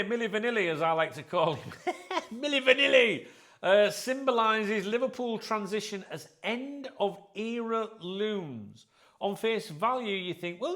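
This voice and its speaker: A man, hosting his channel and chatting casually through articles, congested with a cold, swearing freely and getting louder when excited. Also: mid-range voice, creaky. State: bunged up with a cold. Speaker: channel host. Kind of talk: casual video commentary reading articles.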